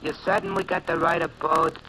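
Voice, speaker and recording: A very noisy vintage radio recording holds a dim male hoodlum played by an actor. His highish voice asks questions in pretentious gangland wording, in 1940s crime radio style. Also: level tone, fairly quick, natural voice. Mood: indignant. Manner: questioning, comically mixes slang and fancy words. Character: dim male gangster sidekick with pretentious wordy slang.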